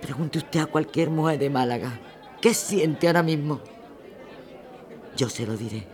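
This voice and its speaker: A woman's voice: low-pitched, unhurried, a little mellow, a faint crowd. A woman playing a weary, grieving leader of a women's protest, solemn and plain-spoken, in a radio drama. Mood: mournful, bitter.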